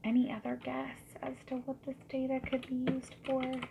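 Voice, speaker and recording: A woman doing a soft-spoken ASMR roleplay as a survey interviewer, gently and politely asking questions in a breathy voice that often drops into whispers. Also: sits high, completely flat delivery, fairly quick. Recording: lo-fi muffled audio with noise. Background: mechanical keyboard typing.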